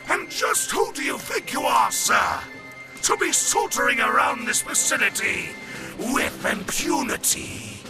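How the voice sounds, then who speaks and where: A high, creaky old ghost general in an audio drama. He is pompous and imperious, drawing his words out slowly in antique military speech, with loud music underneath. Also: wildly swooping pitch, full character voice. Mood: indignant, suspicious. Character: ghost of insane, blustering old Army general. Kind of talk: full-cast audio drama acting.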